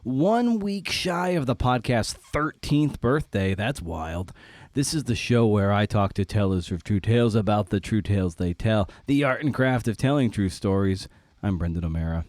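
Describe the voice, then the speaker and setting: A man's voice: medium pitch, darkish tone, dry recording. A man hosting a podcast, delivering a casual, jokey intro monologue with wry, self-deprecating asides. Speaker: podcast host.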